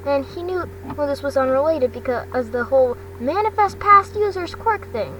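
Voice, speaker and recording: A woman's voice: sits high, expressive, normal speed, sharp tone. A woman reading a story aloud as an amateur narrator, stumbling and repeating phrases as she goes. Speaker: fan fiction narrator. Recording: distant mic, noisy background hum.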